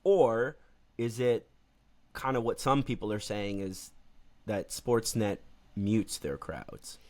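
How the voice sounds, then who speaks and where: A man co-hosting a casual sports podcast, telling stories in a relaxed way with many fillers. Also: fairly low pitch, slow pace. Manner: relaxed storytelling with frequent fillers. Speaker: podcast co-host.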